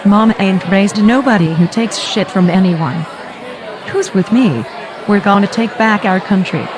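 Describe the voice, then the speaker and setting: With a clear crowd din behind her, an actress plays a furious woman agitator in an audio drama. She is brash, defiant and profane, in a medium-pitched voice. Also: unhurried, natural voice. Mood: defiant, rallying. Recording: crowd noise in large space.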